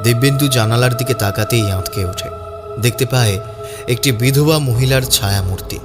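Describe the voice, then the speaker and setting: A man's voice: mid-range voice, moderate pace. A man narrating a scripted story in a dramatic audiobook style. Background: background music.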